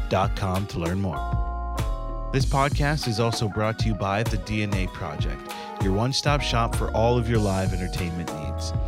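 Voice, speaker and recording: Upbeat man host over music. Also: on the low side. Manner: upbeat, promotional reading. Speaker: podcast host. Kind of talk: scripted intro then casual chat. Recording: music bed behind voice.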